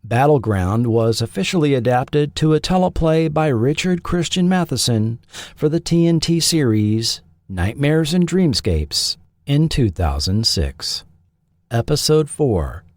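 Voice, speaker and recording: A man narrates scripted television history as a host. His delivery is slow and deliberate, with pauses between phrases and a lively, moving pitch. The recording is very dry and close. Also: no echo.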